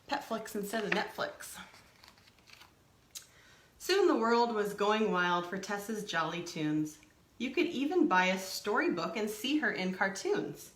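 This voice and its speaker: Animated woman reading a children's story, roomy sound. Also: medium pitch. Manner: expressive, explaining to young children. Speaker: storytime reader. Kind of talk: animated children's picture book read-aloud with asides.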